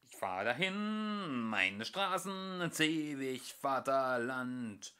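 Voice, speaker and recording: A man's voice: medium pitch, expressive, sharp tone, clean tone. A man voicing an overcheerful male ship computer in an audiobook reading, whimpering hymns nasally. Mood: wailing song. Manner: drawn-out, slowed singing lines.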